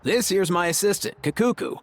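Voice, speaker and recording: A man's voice: high-pitched, brisk, a little hiss or hum, lightly acted. A man voicing a laid-back young veterinarian in a video game, with an easygoing, slangy, friendly manner. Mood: breezy, friendly. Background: music.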